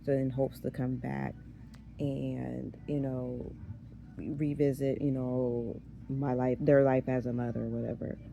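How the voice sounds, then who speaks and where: A woman in her thirties with a hoarse, raspy voice, chatting casually in a vlog and often losing her train of thought. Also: extremely low pitch, little pitch movement, a little slow, highs cut off, a little hiss or hum. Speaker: vlogger. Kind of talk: casual chat.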